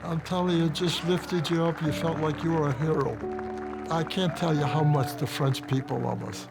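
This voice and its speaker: A man's voice: on the high side, fairly even intonation, moderate pace, creaky. An older veteran man reminiscing emphatically in an interview. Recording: interview with loud music under it.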